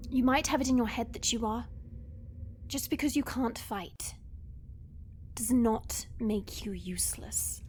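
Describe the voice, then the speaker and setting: Woman playing a slow-speaking, direct fantasy heroine. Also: fairly high pitch, darkish tone, a little hiss or hum, natural voice. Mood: firm reassurance. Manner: measured, direct. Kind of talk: fantasy audio drama acting.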